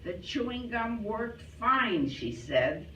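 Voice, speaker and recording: A man telling scripted jokes to a live audience with deliberate, theatrical delivery. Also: high-pitched, big pitch swings, sharp tone. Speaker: joke teller at a club show. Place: club hall, live performance. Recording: echoey hall, distant, faint noise.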